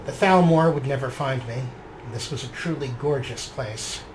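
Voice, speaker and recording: A man's voice: mid-range voice, moderate pace, background noise. A man narrating a video game series as its host, partly reading in character. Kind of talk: scripted in-character journal narration and show commentary.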